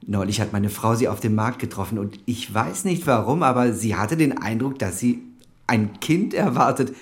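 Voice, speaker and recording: An actor playing an effusive, nosy restaurant owner in a radio play, jovial, solicitous and prying. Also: male voice, on the low side, animated, normal speed. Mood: prying, chatty. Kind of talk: German radio play acting.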